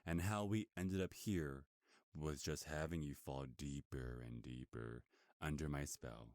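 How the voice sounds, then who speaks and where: Very deep, smug werewolf captor played by a man. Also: measured pace, darkish tone, no room sound, clean studio sound. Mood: seductive gloating. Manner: taunting, possessive, smooth-talking. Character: smug, predatory male werewolf captor in human form, gloating seductively. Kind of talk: ASMR roleplay monologue.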